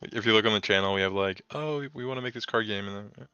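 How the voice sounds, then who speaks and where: A man's voice: fairly low pitch, rapid-fire, pushed and bright, smooth, dry recording. A young American man chatting casually on a game coding stream, rambling and laughing as he answers chat, through a dull lo-fi mic.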